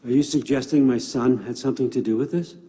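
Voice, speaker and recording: A man's voice: mid-range voice, steady tone, quick pace, mellow, slightly rough, close to an everyday voice. An actor playing a wily, domineering old Norse god-king in a video game cutscene, folksy and controlling in manner. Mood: measured, probing. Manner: folksy, controlling, shifts from coaxing to commanding.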